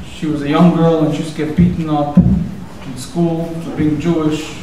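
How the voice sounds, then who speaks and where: A man's voice: on the high side, flat delivery, normal speed, mellow, a little hiss or hum. A man hosting the evening, giving a casual, rambling introduction and telling a story in a conversational way. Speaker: event organizer and host. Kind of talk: introductory speech. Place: synagogue hall. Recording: very echoey hall, distant mic.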